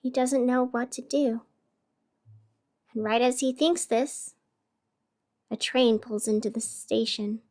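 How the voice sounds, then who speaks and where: A woman playing a radio host in an audio drama, narrating with measured, theatrical delivery and dramatic pauses. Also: on the high side, steady tone, darkish tone. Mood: grave, storytelling. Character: sleepless, over-caffeinated female radio host in strange city. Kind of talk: scripted radio fiction narration.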